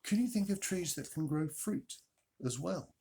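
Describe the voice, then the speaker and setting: Husky man reading expressively to children. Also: on the high side. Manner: expressive, dramatic emphasis and pauses. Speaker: storyteller, reader. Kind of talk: children's Bible story narration with questions to listeners.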